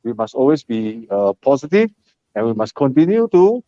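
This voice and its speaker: A man's voice: mid-range voice, sing-song, moderate pace, mellow, dry recording. A man with a Singaporean English accent hosting a casual live stream, chatty and encouraging, with many fillers. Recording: muffled lo-fi live stream, dry.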